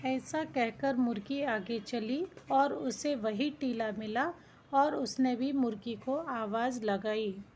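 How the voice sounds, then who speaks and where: A woman narrator telling a children's folk tale. Her voice is fairly high, and she reads the scripted story at a very slow, measured pace. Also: darkish tone, highs cut off, slight background noise.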